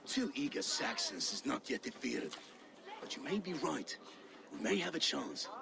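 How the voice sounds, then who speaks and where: An actor playing a fiery, brooding Danish Viking warrior in a video game cutscene. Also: male voice, medium pitch, big pitch swings, normal speed, creaky. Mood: doubtful.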